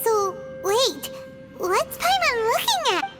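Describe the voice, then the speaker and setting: A woman voicing a tiny, excitable, childlike fairy companion in a video game, with a squeaky voice. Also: takes it slowly, exaggerated voice. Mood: curious. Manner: speaks of herself in the third person, exclamatory. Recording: loud background music under voice.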